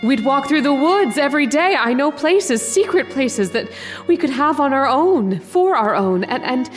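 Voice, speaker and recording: An actress playing an adoring, possessive young dream sweetheart in an old-time radio drama, speaking in an intimate, coaxing, eerily gentle way. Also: female voice, high-pitched, expressive, moderate pace, faint music behind. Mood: pleading. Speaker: actress in a horror radio play.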